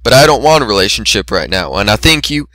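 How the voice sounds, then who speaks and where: A man's voice: on the low side, very animated, fast, pushed and bright, no room sound. Casting: man as big male farm pony, exasperated, flustered, pleading, tense voice, fan-fiction reading.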